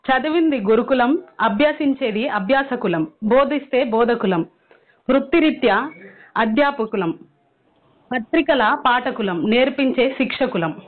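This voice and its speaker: A woman answering in a phone conversation with a brisk, assured manner and neatly measured phrasing. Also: fairly high pitch, lively intonation. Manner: brisk, assured, recites rhyming lists. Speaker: interviewee, teacher. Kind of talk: witty rhyming replies in a phone conversation. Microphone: phone line. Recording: tinny phone call recording.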